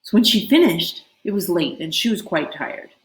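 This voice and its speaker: Low-pitched woman storyteller in echoey room. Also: expressive, moderate pace. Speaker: read-aloud storyteller. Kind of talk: expressive storybook narration.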